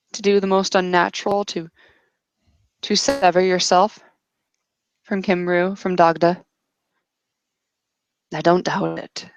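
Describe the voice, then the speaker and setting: A woman improvising narration and character dialogue in a tabletop roleplaying session, speaking deliberately in short, broken phrases. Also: fairly low pitch, vocal fry, no echo, poor audio.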